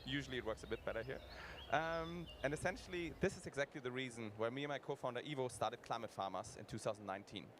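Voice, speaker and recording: Man, co-founder pitch, engaging, talks straight to the audience, mid-pitched, clear background noise. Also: fast, hardly any pauses. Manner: engaging, addresses the audience directly. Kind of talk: conference pitch.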